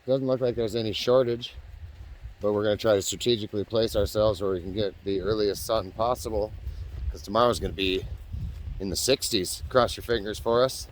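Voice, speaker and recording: A hoarse man with a fairly low voice, chatting to viewers from a handheld camera outdoors on a trail. He is casual and self-deprecating and talks straight to the audience, with faint outdoor noise behind him. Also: fairly even intonation, fairly quick, poor audio. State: hoarse, losing his voice. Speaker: backpacking vlogger. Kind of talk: casual vlog narration on the trail. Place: outdoors on a hiking trail by a creek.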